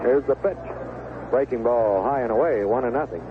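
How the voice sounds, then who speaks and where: A man's voice: mid-range voice, normal speed. A man calling baseball play-by-play in a folksy, conversational 1970s radio style. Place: stadium broadcast booth. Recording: lo-fi, noisy vintage AM radio broadcast. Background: ballpark ambience and noise.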